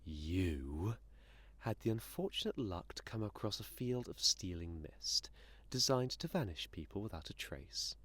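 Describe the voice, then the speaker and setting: A male actor plays a menacing inhuman being in an audio drama, condescending and sardonic. The voice is bright and cutting, with big swoops in pitch. Every phrase is deliberate and theatrical, with an aloof air. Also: very animated, no echo, full character voice. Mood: sardonic lecturing.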